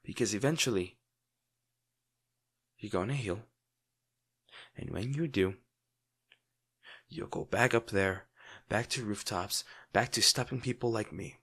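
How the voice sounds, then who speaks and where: Man acting brooding, low-voiced lovesick villain. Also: a bit bright, slightly rough, full character voice. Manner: brooding, earnest, halting dramatic pauses. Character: lovesick male villain, brooding, protective, torn over wounded hero. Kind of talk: intimate dramatic audio roleplay monologue.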